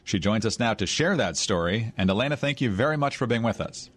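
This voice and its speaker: A man hosting a radio interview, asking direct, measured questions. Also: fairly low pitch, animated, a bit bright. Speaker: radio host.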